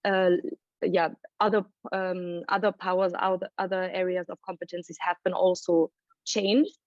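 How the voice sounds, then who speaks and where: A woman presenting findings as a report author at a panel launch, explaining at length and thinking aloud with pauses. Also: mid-range voice, no room sound. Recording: dry, dull lo-fi sound.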